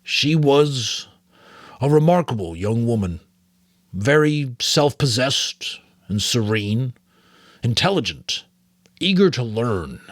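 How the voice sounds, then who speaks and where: A man voicing a weary, wise old male mentor from an audiobook novel, gentle and measured. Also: medium pitch, sing-song, dry recording, clean studio sound, voice pushed up. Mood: wistful.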